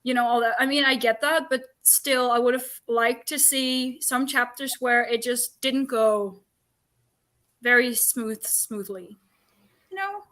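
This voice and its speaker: A woman co-hosting a book livestream, giving opinions in a halting, conversational way and voicing imagined thoughts. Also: fairly high pitch, a bit bright. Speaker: co-host of a book discussion livestream. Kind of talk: casual opinionated chat. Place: online livestream call.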